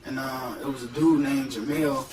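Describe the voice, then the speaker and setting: A man with a Detroit accent, a guest rapper telling stories in slangy, animated casual podcast talk. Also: mid-range voice, normal speed, echoey room, recorded from a distance, over loud music, poor audio. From Detroit, USA.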